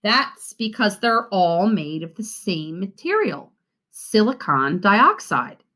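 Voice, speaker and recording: A kindly storybook father, voiced by a woman reading aloud, explains patiently and instructively. The delivery is slow and careful, with lively pitch on the key words. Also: heavily acted.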